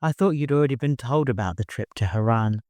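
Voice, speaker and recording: A man's voice: medium pitch, animated, fairly quick, darkish tone, dry recording, clean studio sound, lightly acted. A man voicing a nervous but determined chamberlain in an audiobook, polite yet blunt. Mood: incredulous.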